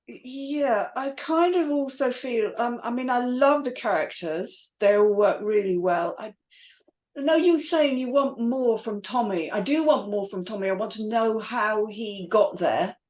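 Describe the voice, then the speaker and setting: Woman panel host, mid-pitched voice, chatty notes, feels her way through each thought. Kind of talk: casual spoken feedback.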